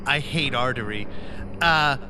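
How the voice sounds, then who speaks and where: A man's voice: medium pitch, a little slow, background noise. A man at a tabletop game, talking casually with a wry, questioning manner.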